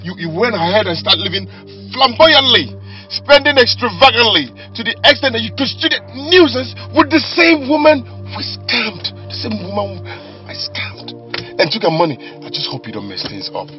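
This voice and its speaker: A man's voice: high voice, fairly quick, hardly any pauses, natural voice. A man playing an exasperated scammer husband in a film drama, emphatic and stammering over repeated words. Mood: exasperated, furious. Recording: muffled lo-fi audio with faint music.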